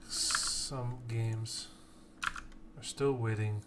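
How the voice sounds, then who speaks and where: A man's voice: mid-range voice, fairly even intonation, drawn-out words. A man casting an esports tournament on a live stream, chatting casually and apologizing a lot. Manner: chatty, self-deprecating, apologetic. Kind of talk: casual late-night live stream chat. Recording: keyboard typing noise behind voice. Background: clear keyboard typing.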